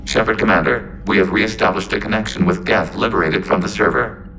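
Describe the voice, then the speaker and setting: An actor playing a calm, logical synthetic android in a game, with formal, measured speech and a robotic filter. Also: male voice, lowish voice, gravelly, a little hiss or hum, rough recording. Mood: calm, courteous. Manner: formal, measured, speaks of itself as we.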